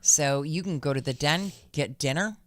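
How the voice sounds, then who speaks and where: A woman's voice: low-pitched, normal speed, no room sound. A woman co-hosting a casual local radio show, reading event listings in an upbeat way with many fillers.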